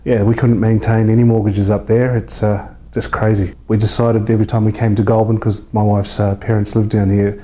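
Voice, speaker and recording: Very mellow New man, chatting informally. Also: on the low side, flat delivery, fairly quick, few pauses. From New Zealand. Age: 30s. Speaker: real estate agent being interviewed. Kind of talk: casual interview chat.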